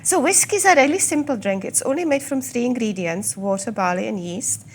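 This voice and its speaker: A woman from the Czech Republic with a Czech accent and a slight Scottish tinge, guiding a tour of a whisky collection and explaining it patiently, with small pauses. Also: a little high, lively intonation, slight background noise. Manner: fluent, with filler pauses and slow stressed words. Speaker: whisky guide. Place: whisky collection room, indoors.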